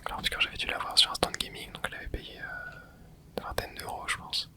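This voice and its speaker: An ASMR creator giving soft, whispered commentary while playing a video game, rambling and hesitant with lots of fillers and restarts. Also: brisk. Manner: soft unvoiced whisper throughout.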